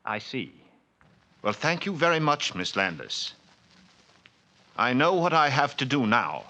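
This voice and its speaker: Haunted artist, sleepless, intense, pleading, bright-toned, 1940s radio drama. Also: male voice, mid-range voice, very animated, a little slow, heavily acted. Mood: tense. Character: sleepless, haunted male artist, middle-aged.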